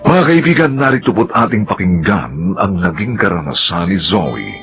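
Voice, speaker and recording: A solemn male host introduces a drama in a low, creaky voice. His delivery is scripted and drawn out. Guitar plays behind the slightly dull recording. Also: sing-song, moderate pace, a bit bright. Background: plucked guitar music.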